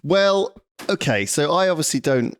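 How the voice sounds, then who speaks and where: A man co-hosting a podcast, telling a story in a halting, self-deprecating way. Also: fairly high pitch, lively intonation, no echo. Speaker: podcast co-host. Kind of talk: casual chat.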